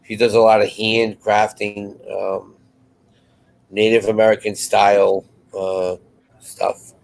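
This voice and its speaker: A man's voice: lowish voice, level tone, takes it slowly, vocal fry, a little mellow, low-quality recording. A man chatting casually as co-host of a live show, rambling and restarting his phrases as he goes. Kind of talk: casual chat.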